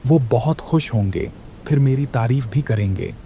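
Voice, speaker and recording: A man's voice: medium pitch, animated, normal speed, warm tone, a little hiss or hum, close to an everyday voice. A man voicing a scheming, envious shopkeeper in a children's cartoon, with a calculating, grumbling manner. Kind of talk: Hindi children's moral-story cartoon dub.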